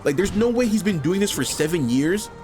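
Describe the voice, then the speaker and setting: A man's voice: high-pitched, expressive, a little fast. A man from New York hosting reaction commentary, casual and heartfelt, full of "like" and swearing. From New York, USA. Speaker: commentary channel host. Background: music.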